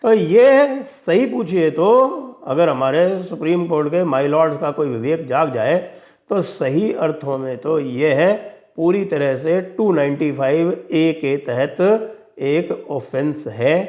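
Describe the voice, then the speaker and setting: Higher-pitched man giving pointed commentary. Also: takes it slowly, darkish tone. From India. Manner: emphatic, sarcastic, mocking. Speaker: commentator and show host. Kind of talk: opinionated political and religious commentary.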